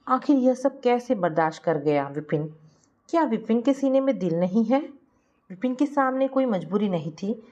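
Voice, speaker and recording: A woman's voice: mid-range voice, a little mellow, muffled recording. A woman reading a scripted family moral story as its narrator.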